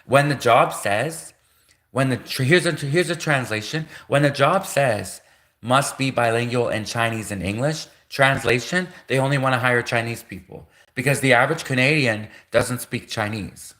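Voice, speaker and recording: A man's voice: medium pitch, moderate pace, sharp tone. A man hosting a livestream, talking insistently with lots of repetition and restarts. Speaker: livestream host. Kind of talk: livestream rant.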